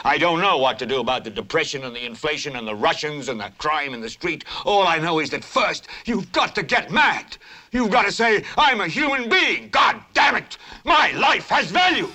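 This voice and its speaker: This is a furious middle-aged newsman, and his speech swells from grim complaint into a shouted demand. The tone is bright and cutting and sits a bit high. It sounds like a dramatic film scene. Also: big pitch swings, measured pace, heavily acted. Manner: impassioned, building to a shout.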